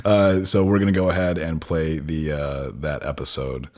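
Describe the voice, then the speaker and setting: A man hosting a podcast in casual chat, talking in a halting, informal way. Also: rumbling low, little pitch movement. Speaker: podcast host.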